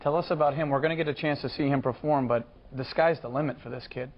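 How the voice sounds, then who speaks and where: A man's voice: medium pitch, steady tone, fast. A man hosting a talk show and asking a guest upbeat, leading interview questions. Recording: dull TV studio audio.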